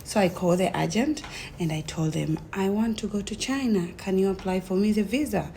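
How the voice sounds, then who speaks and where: A young woman with a Tanzanian accent tells her own story casually, in an animated way, acting out conversations as she goes. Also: medium pitch, brisk, vocal fry, slight background noise. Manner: animated retelling, acts out quoted conversations.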